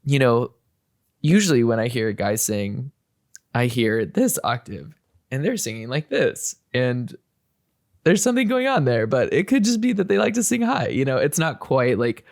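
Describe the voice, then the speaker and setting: A man's voice: medium pitch, big pitch swings, normal speed, creaky, a little mellow, no echo, clean studio sound. A man hosting a podcast, chatting casually and hedging his points with fillers and restarts. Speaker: podcast host. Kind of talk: casual interview chat.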